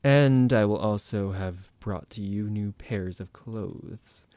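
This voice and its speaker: A man acts out a psychotic vampire doctor for an ASMR roleplay, in a low voice with gravelly fry, recorded very dry and close. He is condescending and possessive, giving orders softly and formally with quiet menace. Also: takes it slowly, no room sound. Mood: smug, commanding.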